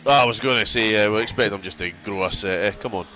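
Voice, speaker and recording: A man's voice: fairly low pitch, quick pace, sharp tone, a little hiss or hum. A man doing casual sim racing commentary, congested from a cold, chatty and prone to repeating himself.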